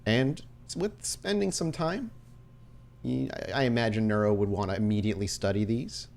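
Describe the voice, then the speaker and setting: A man narrating and refereeing a tabletop roleplaying game as game master, asking measured questions of his players. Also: fairly low pitch, creaky, darkish tone.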